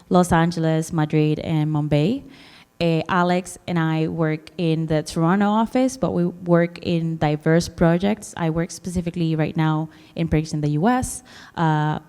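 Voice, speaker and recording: A woman's voice: fairly low pitch, dark tone, smooth, in a large hall. A woman from the Dominican Republic giving a conference presentation, hesitant, with many fillers and restarts. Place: conference hall.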